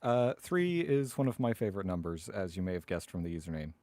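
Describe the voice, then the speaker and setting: A man chatting casually as a tabletop game player, thinking aloud deliberately about his moves. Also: sits low, sing-song, dark tone, no echo.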